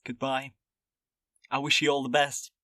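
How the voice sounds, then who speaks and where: An actor playing a weary but gentle male ex-agent in an audio drama, speaking in an understated, measured way. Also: medium pitch, monotone, no room sound, studio quality. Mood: guarded.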